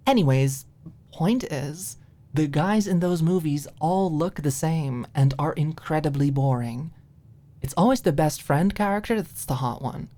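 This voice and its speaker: A woman's voice: deep voice, measured pace, dark tone. A woman voicing a snarky young woman in an audiobook romance, blunt and sarcastic, in a ranting conversational manner. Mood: opinionated, cheeky.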